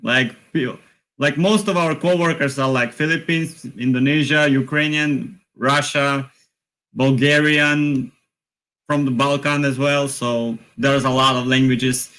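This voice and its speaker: A man's voice: mid-range voice, fairly even intonation, measured pace, fairly bright tone, poor audio. A man who is a crew member guest on a casual live stream, talking easily and laughing often. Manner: easygoing, laughs often, lists things with "like".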